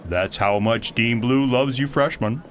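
A courteous, literal-minded guide for a radio play, acted by a man, with a mid-pitched voice. He phrases everything formally and precisely, like an official mentor. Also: a little hiss or hum, natural voice. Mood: courteous, unruffled. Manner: formal, measured, precise phrasing with few contractions. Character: polite, literal-minded male mentor, one of many identical guides. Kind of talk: audio drama acting.

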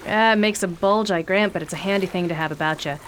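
A woman acting a rough-spoken, cheeky passenger in a radio drama. Her talk is blunt and slangy, and a faint hiss runs under the voice. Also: fairly low pitch, quick pace, rough recording.

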